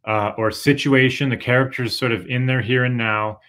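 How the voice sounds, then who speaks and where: A man's voice: medium pitch, steady tone, moderate pace, a bit bright. A young man co-teaching an online writing workshop, explaining patiently and hedging with "sort of" and "kind of", over a webcam. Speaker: writing instructor. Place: room at home. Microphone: webcam video call.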